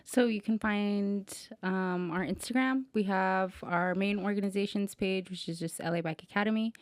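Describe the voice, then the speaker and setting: A woman's voice: mid-range voice, moderate pace. A woman guest on a cycling podcast, talking casually and filling her sentences with "like" and "you know". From Mexican-American, grew up in the US. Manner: chatty, many "like" fillers. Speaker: guest, women's cycling team director.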